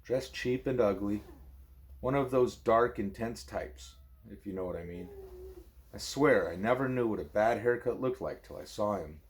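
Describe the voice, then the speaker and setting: A man, a parent, reading a book aloud expressively as a bedtime story, with a wry, deadpan manner. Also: on the low side, moderate pace.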